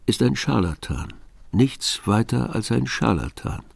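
A man narrating a radio drama in a measured, deliberate, literary storytelling manner. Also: fairly low pitch, animated, vocal fry, breathy edge, dry recording.

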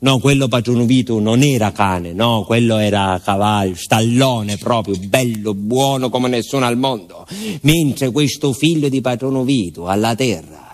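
A man's voice: mid-range voice, a little slow, lightly acted. A male actor playing a folksy village postman with a Southern Italian accent, telling his story in a rambling, colloquial theatrical monologue full of asides. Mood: wry, rueful. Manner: colloquial, digressive, with rhetorical asides and repetitions.

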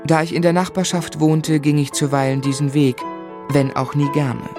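An actor playing an earnest, easily indignant gentleman who narrates his memories in a radio play, in a measured, literary and restrained manner. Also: fairly high pitch, level tone, music in the background, close to an everyday voice. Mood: reflective.

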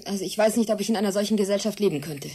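A woman's voice: medium pitch, rapid-fire, dark tone, breathy edge, close to an everyday voice. An actress in a German radio drama playing a hesitant but stubborn woman who speaks in a wondering, emotional way. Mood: wondering, uneasy.

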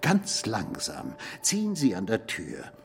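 An actor playing an insinuating police commissioner in a radio play, interrogating with theatrical, needling storytelling and pointed pauses. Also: male voice, on the low side, huge pitch swings, gravelly, faint music behind, full character voice. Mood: insinuating, suspenseful. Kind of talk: German radio play acting.

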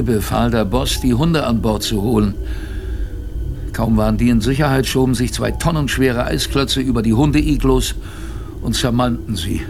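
Young expedition crewman narrating, slightly creaky voice. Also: mid-range voice. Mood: tense recounting. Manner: measured, literary storytelling. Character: young male ship's crewman recounting a polar expedition. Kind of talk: first-person radio drama narration. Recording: clear background noise, ambience.

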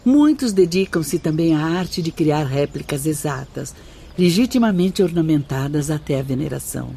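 An actress playing a kindly spirit sister who guides a newcomer in a radio drama, speaking in a soft, gentle way. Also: female voice, sits low, lively intonation, normal speed, vocal fry, slight background noise. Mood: serene. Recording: radio drama with music underneath.

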